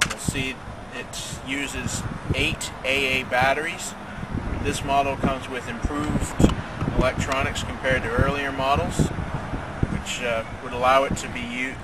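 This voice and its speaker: Slow-talking man over heavy outdoor noise. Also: mid-range voice, distant mic. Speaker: product demonstrator. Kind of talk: product demonstration. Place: outdoors in an open paved lot. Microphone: camera mic a few metres away.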